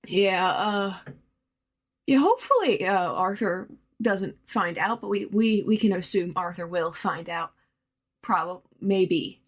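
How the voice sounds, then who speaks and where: A woman's voice: mid-range voice, expressive, slow pace. A woman leaving a casual, rambling voice message as a listener, hesitant but enthusiastic.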